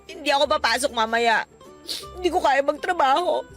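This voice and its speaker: A woman's voice: high voice, moderate pace, a bit bright, faint music behind. A woman playing a heartbroken, whiny young woman in her twenties, tearful and melodramatic, in a radio drama. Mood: sulky, tearful. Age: 20s. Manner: wailing, melodramatic. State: crying.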